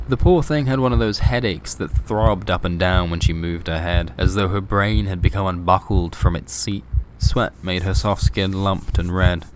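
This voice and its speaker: A man's voice: low-pitched, background noise. A man reading a scripted, surreal horror story as its narrator.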